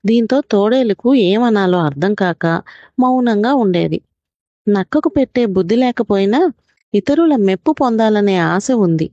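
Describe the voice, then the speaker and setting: Mellow-voiced woman narrating a children's story. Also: medium pitch, animated, measured pace, no echo, dull audio.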